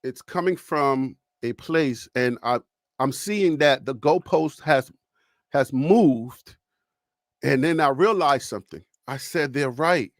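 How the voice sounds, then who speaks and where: A man's voice: medium pitch, takes it slowly, dry recording. A man with a Liberian accent hosting a live sports talk stream from his home studio, talking straight to his viewers in a loose storytelling way. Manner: storytelling, chatty with audience. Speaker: host.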